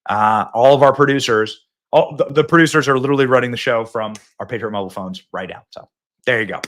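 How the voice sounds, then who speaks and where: A man hosting a live political talk show from a phone, excitable and rambling, often repeating himself. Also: mid-range voice, fast talker, slight background noise. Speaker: host.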